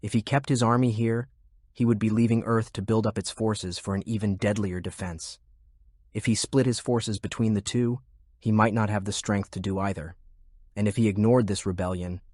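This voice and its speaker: A man reading scripted fiction narration in a measured, deliberate way. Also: on the low side, fairly even intonation, a little mellow, dry recording, clean studio sound. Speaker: audiobook narrator. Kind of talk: scripted narration of a fantasy war novel.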